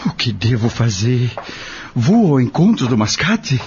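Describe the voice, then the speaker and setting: A man's voice: medium pitch, very animated, measured pace, highs cut off, slight background noise. A man playing a humble, anxious working-class father in an audio drama, earnest and plain-spoken. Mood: bewildered.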